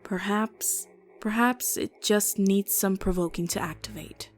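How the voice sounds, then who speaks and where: Wanita memerankan dokter tenang dan metodis. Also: female voice, medium pitch, soft background music, natural voice. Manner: calm, measured, matter-of-fact. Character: calm, methodical female doctor. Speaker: voice actor in a fan game dub.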